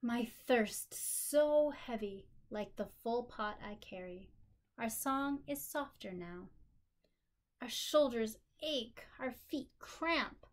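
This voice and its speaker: A woman reading aloud as a young African village girl, a dreamy and lively storybook princess, with dramatic, lingering phrasing. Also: on the high side, slow. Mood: expressive, weary, relieved. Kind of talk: expressive picture-book read-aloud.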